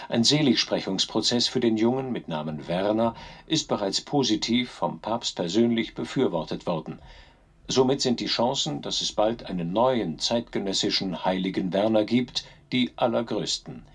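A man's voice: fairly low pitch, breathy edge. An actor playing a solemn male news announcer, reading formally and declaiming in a radio play. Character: solemn male news announcer reporting grave world events.

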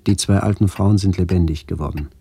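A man acting in a radio play as a brooding narrator haunted by memories of his lost village, speaking in reflective, halting phrases. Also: low-pitched, warm tone, slight background noise.

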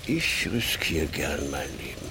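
A man's voice: very deep voice, sing-song, a little slow, noisy background, exaggerated voice. An actor playing a very old, drunken, grieving grandfather set on revenge, bitter and terse in a radio drama. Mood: grim resolve. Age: elderly.

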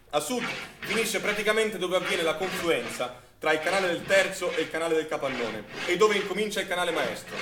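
High-pitched male stage narrator, echoey noisy recording. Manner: theatrical, storytelling. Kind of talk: dramatic scripted narration. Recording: echoey theatre recording with clear noise.

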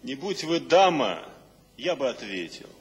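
An actor playing a forceful, mocking husky-voiced man in a radio drama. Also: mid-range voice, sing-song, a little slow, full character voice. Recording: long hall reverb.

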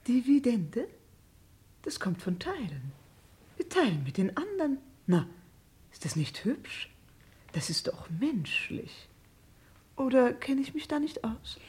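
A woman's voice: on the high side, big pitch swings, slow, long pauses, a little mellow, full character voice. Radio drama: middle-aged upper-crust mother, fluttery and affected, voice almost all breath. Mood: gushing. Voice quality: breathy, husky. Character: genteel, status-proud society mother, doting and fluttery. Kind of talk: German radio crime play acting.

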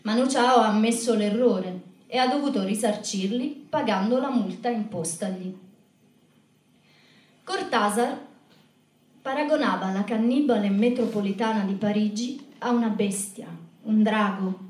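A woman's voice: mid-range voice, measured pace, a little mellow. A woman delivering a scripted literary monologue as the narrator of a spoken-word show. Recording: roomy echo, distant mic.

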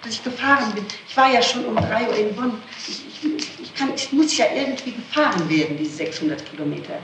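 A woman's voice: fairly high pitch, expressive, fairly quick. A woman being questioned as a suspect, answering defensively and insistently and repeating herself. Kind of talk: police interrogation answers. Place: echoey room, archival interrogation tape. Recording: noisy, roomy archival 1960s tape recording.

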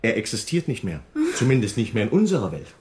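An actor playing a worldly, persuasive doctor in a radio drama, insistent and given to explaining. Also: male voice, medium pitch, huge pitch swings, fast, darkish tone, slight background noise. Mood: grave, knowing.

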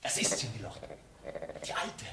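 An actor playing a sly, deferential manservant in a radio drama, with a conspiratorial, theatrical air. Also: male voice, moderate pace, pushed and bright, natural voice. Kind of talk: German radio drama acting. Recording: noisy vintage radio recording.

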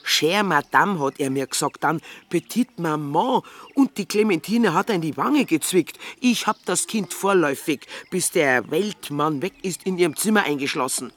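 A woman's voice: deep voice, expressive, normal speed, few pauses. An actress playing a homely, motherly country landlady in a radio drama, plain-spoken, confiding and worried. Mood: flustered, confiding.

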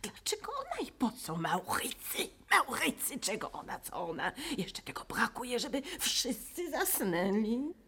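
A woman's voice: a little high, huge pitch swings, a little slow, few pauses, a crowd in the background, full character voice. An actress playing a bored, querulous aristocratic lady in a theatrical radio play. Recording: distant voice under loud music.

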